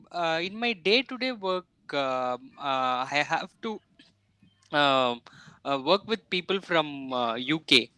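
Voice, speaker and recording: A man's voice: fairly high pitch, sharp tone, smooth, no room sound. A young South Indian man with an Indian English accent, speaking hesitantly and politely on a video call with his English tutor. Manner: hesitant, many uh fillers, polite. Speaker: software developer, English learner. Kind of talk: online lesson conversation.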